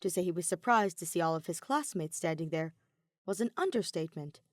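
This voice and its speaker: A woman's voice: fairly low pitch, expressive, a little fast, no echo. Woman reading a dramatic story, dry recording. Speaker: podfic reader. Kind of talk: dramatic audiobook narration of fan fiction.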